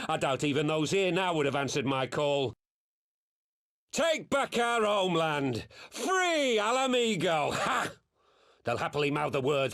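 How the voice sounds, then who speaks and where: Fanatical, embittered rebel villain, wildly swooping pitch. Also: male voice, fairly bright tone. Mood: fierce, contemptuous. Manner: grandiose, bitter, slow dramatic declamation. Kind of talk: theatrical fantasy game voice acting.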